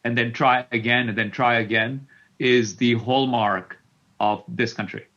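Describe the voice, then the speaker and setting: A man's voice: mid-range voice, fairly even intonation, a little slow, a bit bright, muffled recording. A middle-aged man from Kenya answering as an interview guest, repeating words as he thinks aloud. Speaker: tech company CEO, interview guest.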